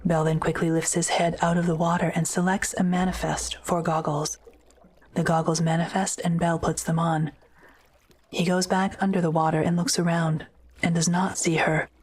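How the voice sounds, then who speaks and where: A woman narrating an audio drama script in a measured, matter-of-fact way. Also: lowish voice, little pitch movement, husky.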